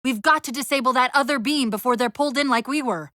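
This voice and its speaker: A female AI partner from a military sci-fi video game. Her voice is high and bright, recorded close and dry. She is brisk and urgent, snapping out tactical orders with a sardonic edge. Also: dry recording. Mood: urgent, alarmed. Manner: crisp tactical commands with dry wit.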